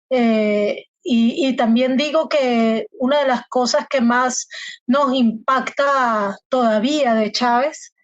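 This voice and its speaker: A woman's voice: high-pitched, dry recording, dull audio. A woman born in Colombia and raised in Venezuela, giving impassioned, emphatic interview answers full of rhetorical questions. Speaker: guest analyst.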